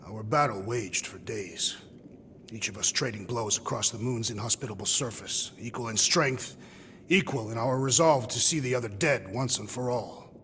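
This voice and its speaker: An actor playing a solemn, proud alien warrior in a video game cutscene, speaking formally and gravely. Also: male voice, mid-range voice, moderate pace, fairly bright tone, long reverb tail, a little hiss or hum, natural voice. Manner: formal, grave, literal-minded diction.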